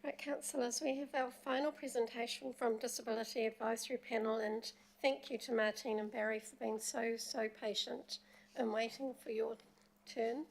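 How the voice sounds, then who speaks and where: A woman chairing a council committee meeting, brisk and friendly as she introduces the next presenters. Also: a little high, steady tone, creaky, slight background noise, rough recording.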